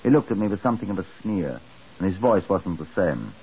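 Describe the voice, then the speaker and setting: Deep-voiced police inspector on muffled old radio. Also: male voice, sing-song, warm tone, breathy edge, full character voice. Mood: grave, narrating. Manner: measured, grave, dramatic. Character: sober male Scotland Yard chief inspector recounting his case. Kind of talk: 1950s radio drama narration. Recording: muffled, hissy 1950s radio recording.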